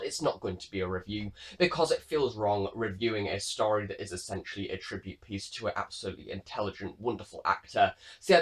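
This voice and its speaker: A man's voice: lowish voice, fairly bright tone. A man giving a casual video review, talking in an earnest, rambling way. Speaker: audio drama reviewer.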